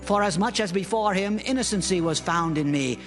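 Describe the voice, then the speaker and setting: A devout bible prophet, high male voice, reverent and declaiming, music behind. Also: a little fast, fairly bright tone. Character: Daniel, devout and steadfast prophet. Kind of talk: dramatized scripture reading.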